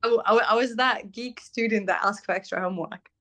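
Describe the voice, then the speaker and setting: A young woman from Syria with a British accent and Arabic as her first language, frankly answering interview questions and often pausing with "um" as she looks for words. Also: mid-range voice, a bit bright. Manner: frank, fills pauses with "um", searches for words. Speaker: guest, bridal fashion designer.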